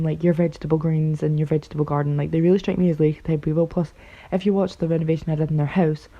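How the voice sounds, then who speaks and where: A woman with a raspy voice from being ill, rambling through casual voice-over commentary on a game build, full of fillers and unsure asides. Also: low-pitched. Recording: dull lo-fi recording.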